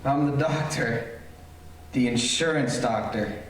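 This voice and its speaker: A man's voice: medium pitch, slow, lightly acted. A man playing a hapless, dazed doctor in an old-time radio comedy, groaning and put-upon. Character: hapless, dazed, much-battered insurance doctor. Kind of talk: golden age radio comedy acting on stage. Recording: distant echoey hall with faint noise.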